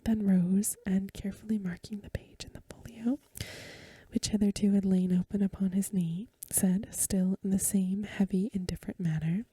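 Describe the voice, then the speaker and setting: Breathy, very dark whispering ASMR woman. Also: medium pitch, steady tone, no room sound. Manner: gentle, unhurried, literary narration. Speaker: ASMR host reading a short story aloud. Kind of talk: soft-spoken ASMR bedtime story reading. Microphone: close microphone.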